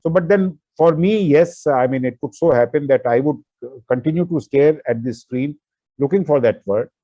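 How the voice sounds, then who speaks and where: A man's voice: a little high, dry recording, dull audio. A man whose first language is not English, an author answering interview questions with many fillers and restarts.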